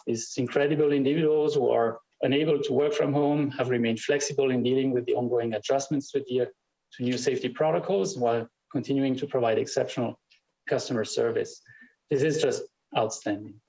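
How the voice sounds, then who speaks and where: A man, a chief executive reading a formal prepared statement to a legislative committee in a measured way. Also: mid-range voice, dark tone, poor audio. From Switzerland. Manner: measured, reading scripted remarks. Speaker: corporate chief executive testifying.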